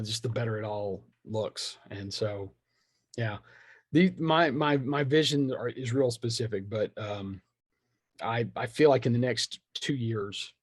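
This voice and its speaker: A man's voice: mid-range voice, dry recording, clean studio sound. A man chatting casually as a podcast guest, joking and slipping into hammy, mock-dramatic asides. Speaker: podcast guest, tabletop game creator.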